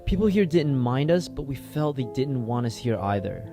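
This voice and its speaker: A man's voice: mid-range voice, sing-song, moderate pace. Man narrating a vlog over music. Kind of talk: scripted documentary narration.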